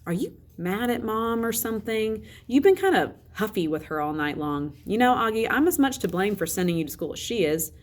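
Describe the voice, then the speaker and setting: A woman voicing a gentle, affectionate, softly coaxing father in a children's storybook. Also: medium pitch, big pitch swings, brisk, hardly any pauses, lightly acted. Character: gentle, affectionate, teasing father of a young boy.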